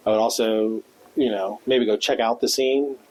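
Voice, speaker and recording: A man with a monotone voice, a stand-up comedian guest talking loosely on a podcast, full of fillers and restarts. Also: mid-range voice, moderate pace, poor audio. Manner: rambling, loose, with many fillers. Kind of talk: casual podcast interview.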